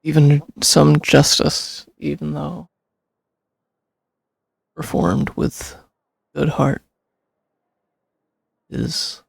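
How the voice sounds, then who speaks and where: Gravelly male streamer, slightly high-pitched. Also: completely flat delivery, extremely slow, pauses often, no echo, low-quality recording. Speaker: game streamer. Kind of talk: casual live playthrough, reading and reacting.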